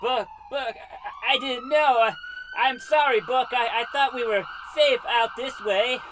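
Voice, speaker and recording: An actor playing a villainous, doom-mongering mad novelist with theatrical, drawn-out delivery in an old-time radio comedy. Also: male voice, extremely high pitch, background noise. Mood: cowed, apologetic.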